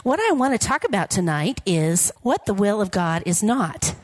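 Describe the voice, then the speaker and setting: A woman with a slightly raspy, hoarse voice gives an upbeat, jokey sermon from a church platform and keeps asking the congregation to respond. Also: mid-range voice, very animated, moderate pace, dry recording, clean studio sound. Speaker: guest preacher. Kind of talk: informal sermon with prayer.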